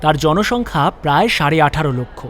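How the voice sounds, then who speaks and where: A male narrator reads a scripted detective tale with dramatic delivery. His voice sits a little high, and a long reverb trails it. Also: lively intonation, quick pace, fairly bright tone, slight background noise. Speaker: story narrator.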